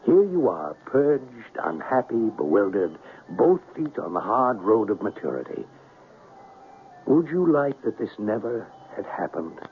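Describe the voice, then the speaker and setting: An actor playing a worldly exiled alien scoundrel in a 1970s radio drama, measured and declamatory, commanding yet fatherly. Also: fairly low pitch, very animated, faint music behind. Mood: proud, taunting. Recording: muffled, noisy old radio broadcast.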